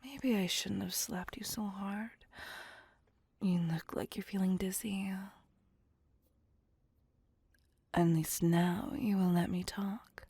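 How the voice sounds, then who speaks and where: Woman playing a slow, breathy seductive vampire. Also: mid-range voice, fairly even intonation, mellow, no echo. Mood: amused, teasing. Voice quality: breathy, whispery. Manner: slow, teasing, flirtatious. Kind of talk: ASMR roleplay.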